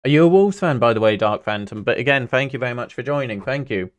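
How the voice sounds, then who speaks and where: A man with a smooth, clean voice at an average pitch hosts a football livestream. He is from Singapore and talks casually to viewers as a fan. His delivery rambles, hopping between chat and commentary on the play. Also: animated, fast. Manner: rambling, chatty, jumps between anecdote and play-by-play. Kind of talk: casual match watch-along commentary, chatting with viewers.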